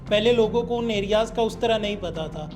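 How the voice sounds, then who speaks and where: A woman's voice: mid-range voice, fairly quick. Pakistani woman hosting, music behind. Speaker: podcast host. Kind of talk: interview questioning.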